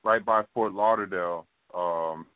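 A man's voice: low voice, a little slow, clean tone, no echo. A man hosting a casual interview show, rambling with lots of fillers and repeats. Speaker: podcast host. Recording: tinny phone line.

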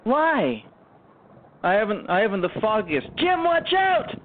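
A man voicing an excitable thirteen-year-old boy in an amateur radio drama, exclaiming and stammering over repeated words. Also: high-pitched, unhurried, voice pushed up, exaggerated voice. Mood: alarmed. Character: excitable thirteen-year-old boys, frightened yet thrilled by a storm. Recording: tinny, narrow phone-like sound.

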